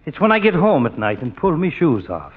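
An actor playing a heavyset, grumbling middle-aged cop in a 1940s radio sitcom. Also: male voice, fairly high pitch, big pitch swings, a little fast. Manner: grumbling, stage-Irish phrasing. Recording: muffled old radio recording with faint hiss.

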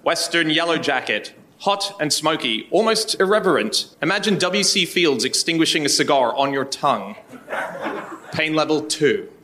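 Male reader, bright and sharp, slightly high, dramatic delivery of poetic phrases. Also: lively intonation, normal speed, slight background noise. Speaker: live event reader. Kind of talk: dramatic reading of short poetic text.

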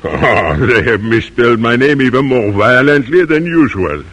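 An actor playing a proud, excitable French cannon founder with a French accent in an old radio drama. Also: male voice, medium pitch, moderate pace. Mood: courteous, amiable. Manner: courtly, hesitant phrasing, easily indignant. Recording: vintage radio recording.

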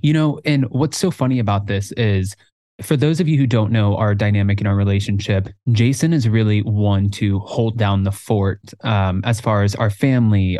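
A man in his twenties co-hosting a podcast in casual chat, talking in an animated way and jumping higher when he quotes himself. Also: dry recording, clean studio sound. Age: 20s. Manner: animated, jumps up in pitch when quoting himself. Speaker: podcast co-host.

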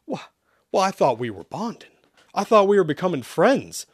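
A man's voice: medium pitch, expressive, a little slow, gravelly, husky, no echo, studio quality, full character voice. A man voicing a crude, needy male demon spirit in a storybook reading, with a roaring, bombastic manner. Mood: sad, pleading.

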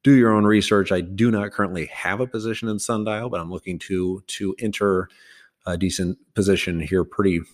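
A man's voice: deep voice, fairly even intonation, fairly quick. A man hosting his own podcast, talking loosely through stock news with many fillers and restarts. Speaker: podcast host. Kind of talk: casual stock commentary.

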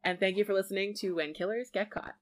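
A woman's voice: mid-range voice, fast talker. Chatty woman podcast co-host. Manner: chatty, animated, laughs easily. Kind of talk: casual podcast chat.

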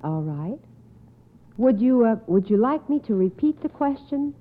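A woman's voice: a little high, very animated, measured pace. A woman hosting a radio quiz in a precise, formal, encouraging manner. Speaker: quiz show host. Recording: tinny, narrow old radio broadcast.

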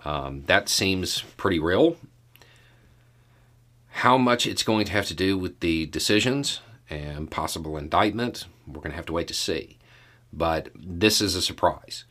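A man giving casual solo news commentary as a host, in a measured, halting way with deliberate pauses. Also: low-pitched, lively intonation, fairly bright tone.

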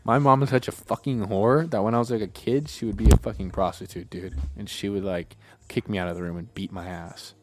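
A man's voice: lowish voice, fairly even intonation, a little fast, background noise. A young man co-hosting a comedy podcast, trading crude jokes, laughing often and slipping into bits. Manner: joking, laughs often, does voices. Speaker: podcast co-host.

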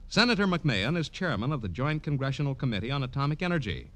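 Animated, formal 1950s radio announcer, male. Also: medium pitch, fast talker. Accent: American. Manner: formal, polished.

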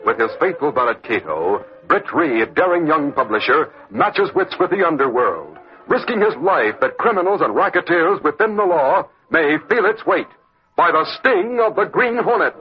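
A man's voice: on the high side, very animated, normal speed, soft background music. A man announcing and narrating an old-time radio thriller in a declamatory, urgent, scripted style. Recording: muffled old radio recording.

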